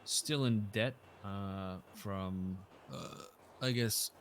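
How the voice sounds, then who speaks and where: Low-ish guy in a roleplay, lots of uhs, kinda hesitant. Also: very slow, a little hiss or hum. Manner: hesitant, many fillers, soft trailing off. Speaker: roleplay player. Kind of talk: in-character roleplay chat.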